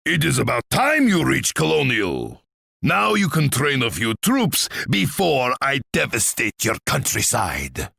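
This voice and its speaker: An imperious, scheming tsar from a strategy game, full of menace and sardonic pomp. The voice is gravelly with a hard, forceful edge, and it swings wildly in pitch as he savours each taunt. The recording is close and dry. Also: huge pitch swings, a little slow, no room sound, full character voice. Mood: scornful, imperious. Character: scheming, imperious, wrathful Russian tsar, gloating warlord. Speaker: video game voice actor.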